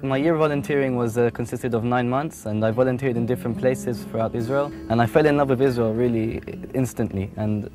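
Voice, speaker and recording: A young man talks about his army life at an ordinary middle pitch. He pauses often on small fillers, relaxed and unhurried. Guitar music plays clearly behind him. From London, England. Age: young adult. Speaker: paratrooper soldier. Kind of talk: personal interview testimony. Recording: music playing underneath.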